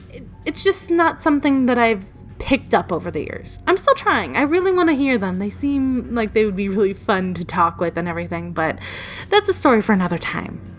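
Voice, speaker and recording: A woman telling personal stories casually in a chatty, rambling, playfully emphatic way. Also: on the high side. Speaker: storytelling video creator. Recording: music behind the voice. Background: background music.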